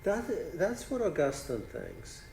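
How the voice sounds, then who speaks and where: A man's voice: a little high, huge pitch swings, takes it slowly, vocal fry, dark tone, roomy sound, slight background noise. A man whose first language is Polish, a philosophy professor answering audience questions after a lecture, thinking aloud and often checking in with "right?". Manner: thinks aloud, restarts phrases, frequent "right?".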